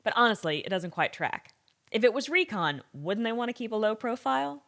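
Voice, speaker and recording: An actress playing a thoughtful, troubled expert in an audio drama interview, speaking in a measured, reflective way. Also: female voice, a little high, very animated, vocal fry, fairly bright tone, exaggerated voice. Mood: wry, pondering.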